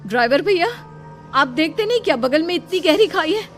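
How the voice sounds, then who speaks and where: A woman's voice: very high-pitched, lively intonation, a little fast, fairly bright tone, faint music behind. A woman voicing an anxious, scolding young female bus passenger in a horror cartoon. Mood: indignant.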